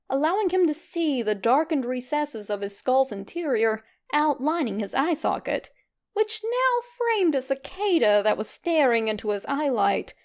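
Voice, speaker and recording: A woman reading fan fiction aloud as an expressive, scripted story narration. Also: high voice. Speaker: fan fiction podfic reader.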